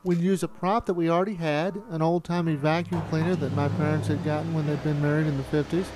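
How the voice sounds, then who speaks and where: A man's voice: a little high, flat delivery, quick pace, background noise. A man narrating a retrospective about amateur filmmaking in a conversational, storytelling way. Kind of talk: reminiscing documentary narration. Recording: voice-over with music behind. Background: music.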